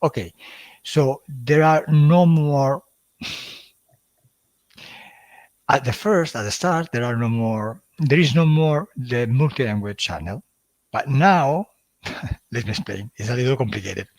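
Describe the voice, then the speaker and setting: A man with an Italian accent hosting a live stream, talking in a halting way with many fillers and restarts. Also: medium pitch, darkish tone, dry recording. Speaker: hypnosis channel host. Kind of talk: casual livestream talk.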